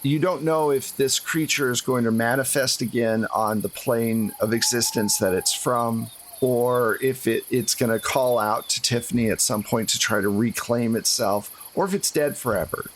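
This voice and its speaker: A man's voice: mid-range voice, moderate pace, hardly any pauses, a little hiss or hum. A man acting as game master, improvising tabletop game narration in a conversational way.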